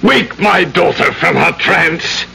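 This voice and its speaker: Stern, haughty gentleman, old radio style, unhurried formal delivery with a hard forceful edge. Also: fairly high pitch, creaky, full character voice. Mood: fearful, demanding. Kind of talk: old-time radio drama acting. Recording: noisy old radio recording.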